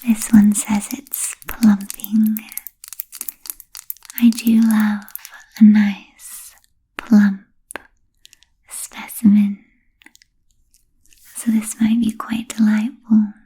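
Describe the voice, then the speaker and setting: An ancient, genteel vampire lady played by a woman whispers in a breathy, husky hush for an ASMR roleplay. She is fussy and politely absent-minded, drawing out formal phrases very slowly with hesitant gaps. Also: mid-range voice, monotone, exaggerated voice, rough recording. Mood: gracious, musing. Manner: halting, hesitant, formal old-fashioned phrasing.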